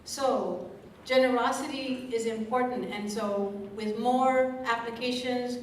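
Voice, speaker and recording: A woman's voice: on the high side, fairly even intonation, a little slow, slight background noise. A woman emceeing a scholarship evening, projecting a big voice from a script to the audience. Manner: big projecting voice, speaks away from the mic; reads from a script with asides. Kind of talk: public speech to an audience. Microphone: stage mic kept at a distance. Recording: echoey room, distant mic, crowd ambience.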